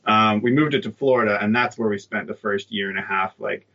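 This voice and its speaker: A man's voice: lowish voice, fairly quick, sharp tone. A man in his thirties, a guest telling stories in an easygoing, self-deprecating way. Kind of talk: conversational interview. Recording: dull remote line.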